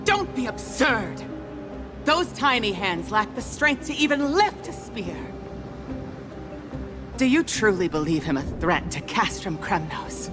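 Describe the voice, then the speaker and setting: An actress playing a fierce, defiant warrior queen in a video game, speaking in an impassioned, commanding and formal way. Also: medium pitch. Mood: pleading defiance. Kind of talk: dramatic video game acting.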